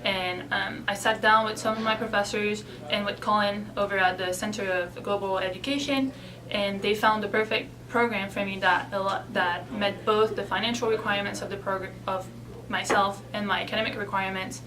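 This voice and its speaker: A woman's voice: medium pitch, fairly even intonation, normal speed, recorded from a distance, a crowd in the background. Young Belgian-born woman being interviewed. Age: 20s. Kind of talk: casual interview.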